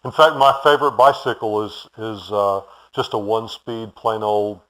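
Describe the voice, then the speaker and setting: A man's voice: fairly low pitch, normal speed, fairly bright tone, low-quality recording. A man from Houston whose first language was German, answering interview questions in a friendly, polite and rambling way with fillers. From Houston, Texas. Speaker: bicycle shop owner, guest.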